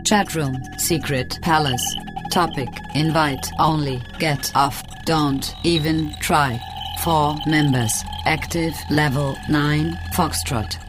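Cold clipped system voice, really slow and low, music behind, radio play. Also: female voice, steady tone. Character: cold, clipped female chatroom system announcer. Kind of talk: radio drama announcement.

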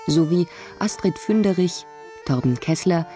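A woman announces radio play credits in a formal, scripted style. Instrumental music plays clearly behind her. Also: on the low side, lively intonation, measured pace, dark tone.

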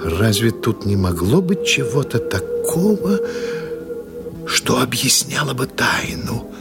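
An actor playing a dreamy, imaginative writer in an audio drama, theatrically musing aloud with drawn-out pauses. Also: male voice, mid-range voice, sing-song, vocal fry, exaggerated voice. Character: Anthony, dreamy imaginative male writer and collector. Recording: loud music under the voice.

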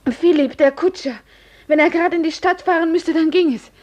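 A woman's voice: very high-pitched, a little fast, darkish tone. An actress playing an anxious young woman waiting for her lover in a 1950s radio play. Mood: worried hope.

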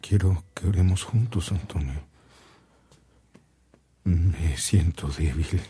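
Anguished, deep-voiced, slow missionary priest. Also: male voice, warm tone, breathy edge, full character voice. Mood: weary, confiding. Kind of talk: radio drama acting.

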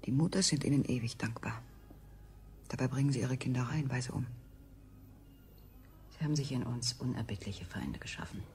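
A woman's voice: a bass voice, normal speed, long pauses, darkish tone, slight background noise. An actress playing a bitter enslaved woman who plots against her masters, in a declamatory, conspiratorial 1970s radio play style. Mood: defiant. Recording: 1970s studio radio recording.

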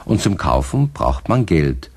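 A man with a low voice tells a children's story as the narrator of a radio play. His delivery is scripted and very animated, with pitch that rises and falls widely through each phrase. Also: unhurried, breathy edge.

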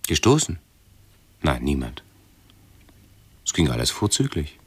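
A fussy, pedantic hypochondriac man in a radio play. His voice is low, creaky and husky, and he speaks haltingly, forever correcting his own words. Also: very animated, dry recording, exaggerated voice. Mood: evasive, reassuring.

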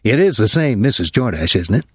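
A man voicing a nervous, apologetic older military academy colonel in an audiobook, speaking formally and haltingly with some embarrassment. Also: on the low side, very animated, husky, no room sound, clean studio sound, full character voice.

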